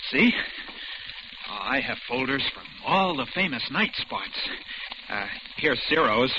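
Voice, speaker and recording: A childlike, unhinged male kidnapper in an old radio thriller. His pitch swings widely, halting and eager with menace underneath. The vintage recording is dull and very noisy. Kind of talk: 1940s radio thriller acting.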